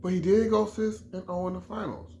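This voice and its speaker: A man's voice: high-pitched, fairly even intonation, a little fast, gravelly, dark tone. A middle-aged man from Chicago giving an emphatic, repetitive sports opinion in a casual vlog, often restarting his phrases. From Chicago, USA. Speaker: vlogger.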